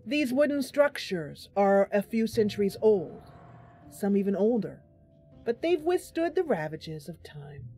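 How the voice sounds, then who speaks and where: A woman streams a fantasy role-playing game in a casual, chatty way. Her mid-pitched voice is animated and giggly as she comments on her play and reads story text, with music playing behind her. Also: measured pace. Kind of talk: casual livestream gameplay commentary with narration read aloud.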